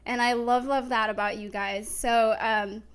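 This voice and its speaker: A woman with a fairly high voice hosts a casual chat. She is gushing and enthusiastic in the way she talks to her guest. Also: steady tone, a little slow, smooth. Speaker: talk show host.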